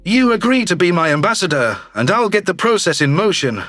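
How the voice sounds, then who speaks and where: A man's voice: high-pitched, big pitch swings, brisk, a bit bright, voice pushed down. A man voicing a smug, calculating alien governor, slick and self-satisfied, in a theatrical audio drama style. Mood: slick, persuasive. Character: smug, calculating male alien politician, newly elected governor. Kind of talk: scripted sci-fi audio drama dialogue.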